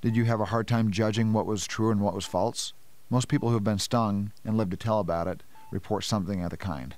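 A man's voice: fairly low pitch, steady tone, brisk, a little mellow, lightly acted. A middle-aged man acting in a radio play as a methodical scientist, explaining things precisely. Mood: explanatory.

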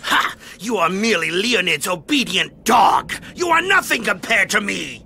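An actor playing an arrogant, unhinged villainous mage in a video game, resentful, theatrical and boastful. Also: male voice, high-pitched, very animated, moderate pace, gravelly, a little hiss or hum, heavily acted. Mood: triumphant, raving. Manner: theatrical, boastful, cackling and shouting. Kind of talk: dramatic video game acting. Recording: loud music under the voice.

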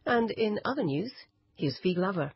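A woman's voice: medium pitch, animated, normal speed, vocal fry, breathy edge, dry recording. A woman reading scripted continuity links as a radio announcer. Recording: dry, slightly muffled radio studio.

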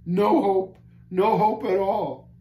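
A man's voice: sits high, fairly even intonation, takes it slowly, highs cut off, pitched above the performer's own voice. A man voicing a despairing, guilt-tormented prisoner in an audiobook story, moaning in anguish. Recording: roomy echo, faint background noise.

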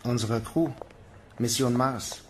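A man's voice: mid-range voice, fairly even intonation, unhurried, a little hiss or hum, lightly acted. A man playing a world-weary, guarded but protective Mars mission commander in a German audio drama. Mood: sombre, matter-of-fact.

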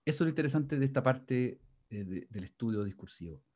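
Guy teaching a class on a video call, dark husky voice, lots of restarts and fillers. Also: mid-range voice, animated, a little fast. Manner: rambling, frequent restarts and fillers, asks the class "¿ya?". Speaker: university lecturer. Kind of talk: online lecture over video call. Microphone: video call microphone.

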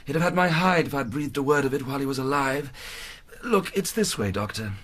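An actor playing a kindly, plain-spoken charity official in a radio drama, British, with a husky voice and a confiding, colloquial manner. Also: male voice, medium pitch, animated, fairly quick, a bit bright.

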